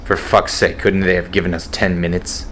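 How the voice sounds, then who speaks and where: A man playing a weary fighter-wing colonel who speaks in a solemn, measured way in a fan audio drama. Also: low voice, little pitch movement, fairly bright tone, slight background noise. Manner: solemn and measured, dryly blunt in private. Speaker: colonel and squadron commander.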